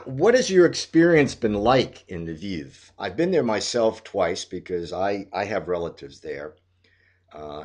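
A man interviewing a guest, asking long, measured questions with hesitant fillers. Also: sits low, a little mellow, slightly rough. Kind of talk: casual conversational interview.

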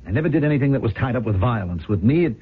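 A man's voice: medium pitch, lively intonation, rapid-fire, husky. An actor playing a weak, anxious crooked businessman in a 1970s radio drama, defensive and agitated. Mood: anxious, protesting. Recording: noisy, phone-like old radio recording.

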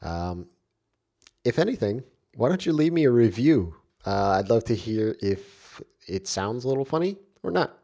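A man's voice: mid-range voice, sing-song, moderate pace, dark tone. Stuffy-voiced man hosting a podcast. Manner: friendly, direct address to listeners. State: stuffy from a cold. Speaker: podcast host. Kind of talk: solo podcast talk.

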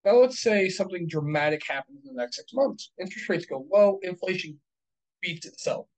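A man in his thirties with a slightly high voice talks through rental math in a casual chat. He is blunt and profane and reckons the numbers out loud as he goes. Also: lively intonation, a little fast, low-quality recording. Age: 30s. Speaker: real estate investor.

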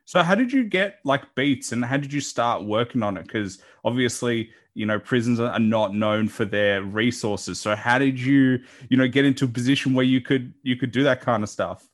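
A man's voice: mid-range voice, moderate pace, hardly any pauses. A man hosting a podcast, chatting casually with a guest in a rambling, encouraging way. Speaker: podcast host. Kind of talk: casual interview chat.

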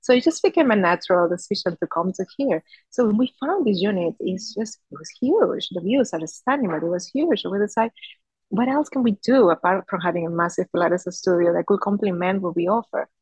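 A woman's voice: mid-range voice, lively intonation, brisk, darkish tone, breathy edge, no echo, rough recording. A woman in her thirties from Peru, a native Spanish speaker, telling her story conversationally as a podcast guest. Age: 30s. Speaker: podcast guest, Pilates studio founder.

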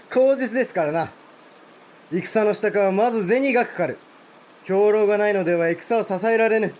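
A man's voice: high-pitched, a little slow, long pauses, darkish tone. A man playing a proud, blunt provincial samurai lord in a Japanese historical audio drama, speaking formal warrior speech. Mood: frank.

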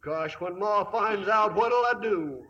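Rustic, fretful frontier father, high-pitched, drawling, folksy. Dull lo-fi radio recording. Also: a little slow, sharp tone. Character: folksy, worried old frontier father, newly rich. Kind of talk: 1950s radio comedy acting. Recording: dull lo-fi 1950s radio broadcast.

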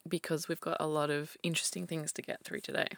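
A woman co-hosting a podcast, telling a true crime story in casual chat with a slightly croaky voice and frequent laughs. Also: low-pitched, flat delivery, fast. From Australia. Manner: chatty storyteller, laughs often, restarts sentences.

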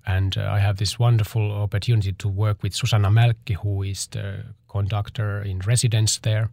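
A fairly low, gravelly man talks about his work as a choreographer. He pauses and restarts as he searches for words. The sound is close and dry. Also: flat delivery, darkish tone, no room sound. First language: Finnish. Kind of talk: interview answers.

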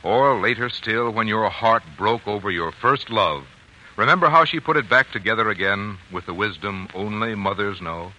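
A man's voice: lowish voice, normal speed, bright, forceful tone, muffled recording. A man working as a radio announcer, reading scripted 1940s commercial copy in a polished, earnest way. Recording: old radio broadcast, faint music behind.

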